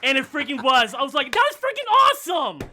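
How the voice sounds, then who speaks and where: A man hosting a casual interview, talking like an excited, gushing fan. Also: very high-pitched, brisk, slight background noise. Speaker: podcast host.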